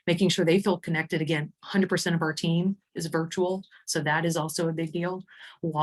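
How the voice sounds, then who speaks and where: Female presenter, low register, conversational software walkthrough, keen and explanatory. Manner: enthusiastic, informal, explanatory. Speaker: HR executive demonstrating software.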